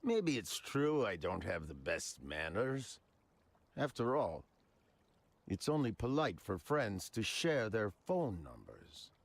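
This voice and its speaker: A man's voice: on the low side, big pitch swings, slow, heavily acted. An actor playing a menacing, eccentric male crime boss with a leisurely, mock-polite manner in a video game. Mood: playful menace. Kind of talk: video game dramatic acting.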